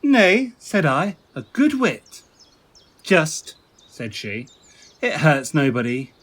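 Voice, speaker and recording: Playful prince, highish voice, very animated pitch, unhurried, theatrical Shakespearean banter. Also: male voice, husky, heavily acted. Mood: playful mockery. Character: jovial, teasing prince who likes banter. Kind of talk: Shakespearean audio play acting.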